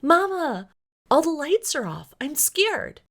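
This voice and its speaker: A woman voicing an excitable, high-pitched little boy. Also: takes it slowly, heavily acted. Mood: scared. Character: excited, scared little boy, a young son.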